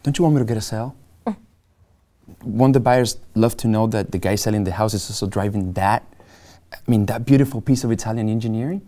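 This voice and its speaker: A man's voice: fairly low pitch, brisk, mellow, natural voice. A man reading a naive, eager junior realtor. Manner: hesitant, stammering, eager to please. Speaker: actor in a script table read. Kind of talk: script table reading.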